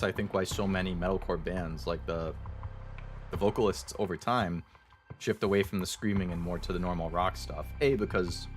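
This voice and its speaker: A young man streaming with a sore throat, chatting with viewers in a relaxed, rambling way full of fillers. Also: low-pitched. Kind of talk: casual chat with viewers.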